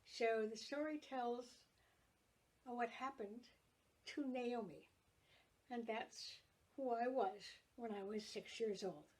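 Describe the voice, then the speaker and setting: A woman storyteller reading a true story from her own childhood aloud in a slow, measured, gentle way. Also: a little high.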